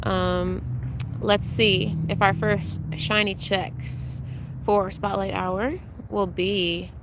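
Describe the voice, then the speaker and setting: A young woman hosts a live game stream, chatting loosely and greeting her viewers one by one. Her voice sounds a little congested and she fills pauses with ums. A faint hum sits behind her voice. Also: medium pitch, little pitch movement. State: congested, recovering from illness. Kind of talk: casual live-stream chat.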